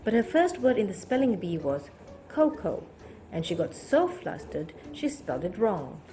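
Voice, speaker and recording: Female storyteller, children's read-aloud, expressive, animated intonation, mid pitch. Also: normal speed, faint music behind.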